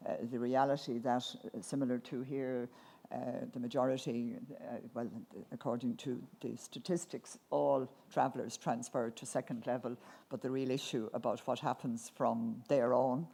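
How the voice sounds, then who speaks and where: A woman's voice: rumbling low, little pitch movement, moderate pace, gravelly, dark tone. A woman chairing a conference panel, thanking speakers and handing over with fillers and restarts. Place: conference hall.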